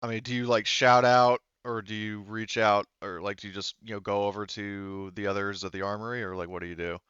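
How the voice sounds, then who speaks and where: A man running a tabletop game as game master, talking informally and haltingly as he searches for words. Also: on the low side, flat delivery, fairly bright tone, clear, resonant tone, dry recording, highs cut off. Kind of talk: casual game narration at a tabletop session.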